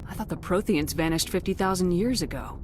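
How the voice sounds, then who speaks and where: An actress playing a tough, direct military commander in a video game, curt and businesslike. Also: female voice, lowish voice, brisk, over music, close to an everyday voice. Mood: skeptical, firm. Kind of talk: video game dialogue acting.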